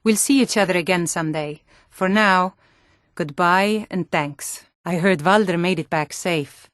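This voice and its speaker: A woman's voice: lowish voice, unhurried, no room sound, clean studio sound, natural voice. A woman voicing a proud, blunt adult Nordic townswoman in a fantasy video game, with earnest, dramatic delivery. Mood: matter-of-fact, then concerned. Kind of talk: scripted fantasy game dialogue.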